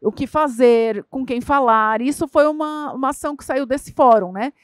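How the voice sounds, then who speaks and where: A woman's voice: sits high, moderate pace, no room sound, clean studio sound. A woman with a Brazilian Portuguese accent speaking on a conference panel as a corporate diversity lead. She answers conversationally and often adds tag questions and fillers. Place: conference stage.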